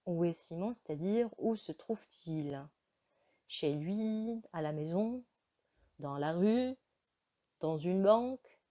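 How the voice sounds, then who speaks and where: Woman teacher, slow and mellow, patient beginner lesson with pauses. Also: on the low side, animated. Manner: slow, careful teaching delivery with frequent hesitations. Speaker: French teacher.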